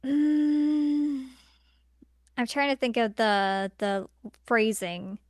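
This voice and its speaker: A woman's voice: fairly high pitch, flat delivery, extremely slow, dry recording, studio quality. A woman co-hosting a podcast, chatting casually about films in a blunt, exasperated, colloquial way. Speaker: podcast co-host. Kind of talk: casual opinionated film discussion.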